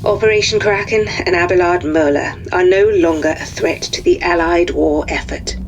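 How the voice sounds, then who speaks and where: A performer playing a formal military intelligence narrator, reading a scripted wartime briefing in a measured way. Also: medium pitch, slight background noise, natural voice, rough recording. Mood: official.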